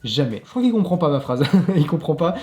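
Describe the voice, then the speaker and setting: Upbeat male vlogger, French accent, fairly high but soft voice, roomy sound. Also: big pitch swings, fairly quick, soft background music. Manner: chatty, upbeat, laughs at himself. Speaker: tech reviewer, channel host. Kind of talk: casual product review vlog. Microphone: clip-on mic.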